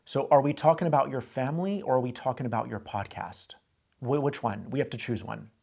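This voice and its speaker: A man's voice: mid-range voice, fairly quick, gravelly, darkish tone. A man hosting a call-in advice show, coaching a caller in a direct way and often repeating himself. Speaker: host giving advice.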